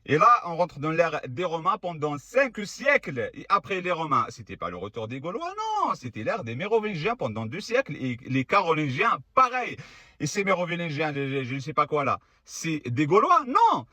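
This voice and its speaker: A man's voice: high-pitched, pushed and bright, no room sound, clean studio sound. A man giving casual, argumentative history commentary to camera, sarcastic and full of rhetorical questions. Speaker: video creator.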